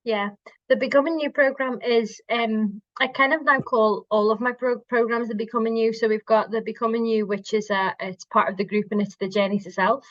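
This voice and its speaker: A woman's voice: fairly high pitch, fairly even intonation, a little fast, dry recording. A middle-aged woman from Liverpool with a Liverpool accent, speaking as a guest body worker in a casual chat and often restarting her sentences. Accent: Liverpool, softened by years in Scotland. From Liverpool, England. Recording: dry, dull lo-fi sound.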